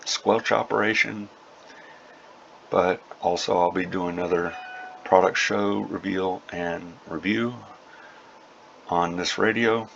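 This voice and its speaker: A man hosting a casual prepper video update, talking informally in short, halting phrases. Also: low voice, vocal fry. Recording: dull lo-fi sound with faint noise.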